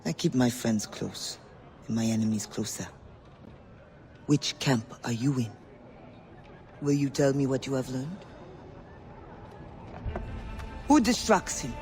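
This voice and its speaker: A man's voice: medium pitch, measured pace, long pauses, a little mellow, natural voice. A man playing a shrewd, imperious schemer in a video game, with a theatrical manner. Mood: probing.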